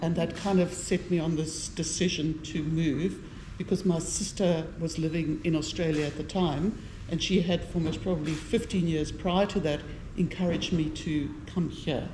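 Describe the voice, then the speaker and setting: A woman's voice: deep voice, fairly even intonation, normal speed. A middle-aged woman with a South African accent, giving a personal account as a panellist at a live event. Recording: echoey room with faint noise.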